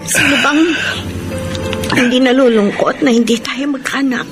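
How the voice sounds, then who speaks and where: A woman's voice: high voice, fairly even intonation, unhurried, music in the background. A woman acting a devoted, loving young wife in a Filipino radio drama, emotive and pleading, with a Filipino accent. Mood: sad, gently reproachful.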